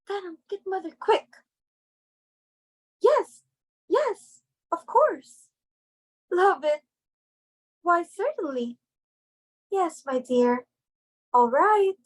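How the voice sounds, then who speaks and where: A flustered young society daughter with a high voice, played by a woman. She is eager and anxious, pleading excitably in the theatrical manner of a stage comedy reading. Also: drawn-out words, long pauses, heavily acted. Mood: panicked, flustered.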